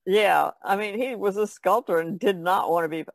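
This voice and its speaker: A woman's voice: mid-range voice, normal speed, no room sound, studio quality. A woman chatting casually as a co-host on a podcast. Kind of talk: casual chat.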